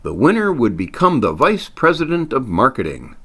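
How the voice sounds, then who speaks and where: A man's voice: medium pitch, huge pitch swings, normal speed, sharp tone. A man reading a scripted story aloud as its narrator, with theatrical emphasis on wordplay and punchlines. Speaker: author reading own short story.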